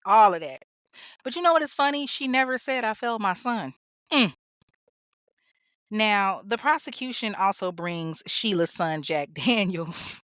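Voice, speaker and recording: A woman's voice: mid-range voice, lively intonation, measured pace, long pauses, fairly bright tone, no echo, clean studio sound. Blunt, salty woman retelling a true crime case. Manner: blunt, profane, laughing asides. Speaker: true crime podcast host. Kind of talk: casual true crime storytelling.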